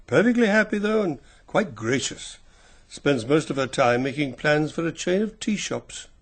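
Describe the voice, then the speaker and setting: An actor playing a genial, gossipy English gentleman in a radio drama, speaking in a dry, conversational way. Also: on the high side, very animated, normal speed. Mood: dry, chatty.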